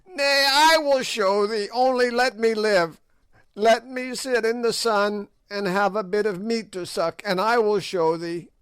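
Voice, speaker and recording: A man's voice: unhurried, fairly bright tone, clean tone, no room sound. A man voicing an ancient, evil witch woman who shrieks and cackles in old-fashioned speech. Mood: pleading. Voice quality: shrill, cackling.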